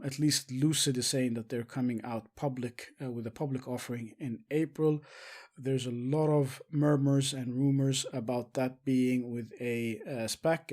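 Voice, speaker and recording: A man presenting investing commentary as a show host, speaking haltingly with frequent filled pauses. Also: medium pitch, a little mellow. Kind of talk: semi-scripted stock market commentary.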